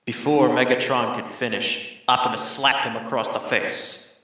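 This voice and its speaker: A man's voice: mid-range voice, steady tone, moderate pace, pushed and bright. A man reading scripted third-person narration for an audio drama. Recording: very echoey, slightly muffled.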